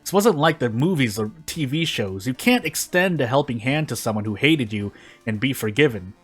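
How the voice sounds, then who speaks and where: A man's voice: mid-range voice, lively intonation, normal speed, breathy edge, soft background music. A man informally reading a story aloud, now and then stumbling and rereading phrases. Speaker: fan fiction reader on a gaming video.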